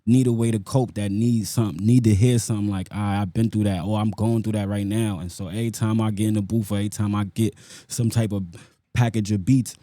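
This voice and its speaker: Low, mellow New York man. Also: dry recording. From South Bronx, New York. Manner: street slang with frequent "you know what I'm saying" tags. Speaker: rapper being interviewed. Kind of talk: casual conversational interview.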